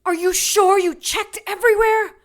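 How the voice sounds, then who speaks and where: A woman playing a sharp, rational young witch who scolds and argues. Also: extremely high pitch, steady tone, normal speed. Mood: scolding. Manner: clipped, indignant, scolding. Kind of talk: audio drama acting.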